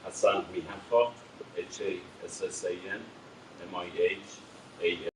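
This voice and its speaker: A man, answering a TV reporter's questions in a halting, earnest way with many fillers and restarts. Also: low voice, fairly even intonation, background noise. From Iran. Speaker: interviewee. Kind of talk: TV news interview.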